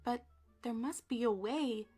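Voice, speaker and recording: A woman's voice: a little high, measured pace, warm tone, husky, soft background music. Woman playing a chirpy friendly pumpkin boy. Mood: determined. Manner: bubbly and upbeat. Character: cheerful, friendly young male pumpkin. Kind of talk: storybook read-aloud.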